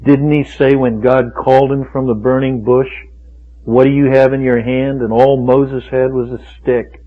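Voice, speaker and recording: A man's voice: medium pitch, little pitch movement, moderate pace. A man delivers an impassioned sermon in a mid-range voice, hammering his points with repetition and rhetorical questions. The sound is thin and narrow, like an old radio broadcast over a phone line. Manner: emphatic, rhetorical, repeats phrases for force. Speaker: preacher. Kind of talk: fiery sermon. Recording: tinny phone-like radio recording.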